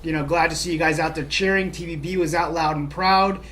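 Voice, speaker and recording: A man's voice: fairly high pitch, fairly even intonation, a little fast, background noise. A man co-hosting a casual soccer talk show, upbeat and chatty with lots of fillers. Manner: upbeat, chatty, many "you know" fillers. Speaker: podcast co-host. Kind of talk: casual sports chat.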